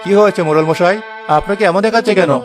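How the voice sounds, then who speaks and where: A man's voice: high-pitched, rapid-fire, over music, natural voice. A man voicing a good-hearted, brave village husband in a cartoon. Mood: willing, confident. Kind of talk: Bengali cartoon voice acting.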